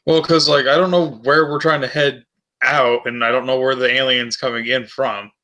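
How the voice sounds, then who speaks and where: A man's voice: mid-range voice, steady tone, moderate pace, bright, forceful tone. A man chatting casually as a player in a tabletop role-playing game. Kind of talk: casual chat during a game.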